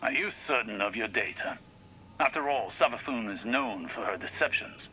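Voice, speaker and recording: A man's voice: mid-range voice, lively intonation, moderate pace, sharp tone, slight background noise, poor audio. A man playing a proud, learned older warlock in a video game, speaking formally and precisely. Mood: suspicious. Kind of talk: video game character dialogue.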